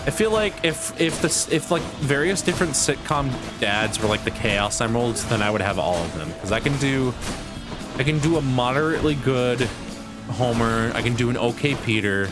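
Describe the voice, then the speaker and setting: A man's voice: medium pitch, lively intonation, normal speed. A man streaming a video game and chatting casually, rambling with restarts and self-deprecating asides, over game music. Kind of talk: casual chat while gaming.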